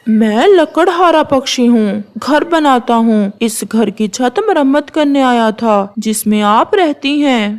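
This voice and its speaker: A woman voicing a kind, childless male woodpecker handyman with a gentle, courteous manner in a cartoon. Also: on the high side, expressive, takes it slowly, hardly any pauses. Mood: kindly.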